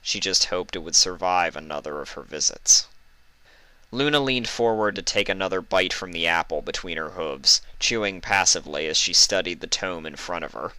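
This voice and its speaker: A man's voice: sits low, normal speed, pushed and bright, clear, resonant tone, no echo, rough recording. A man reading a scripted story aloud as a fan fiction narrator, close to the microphone.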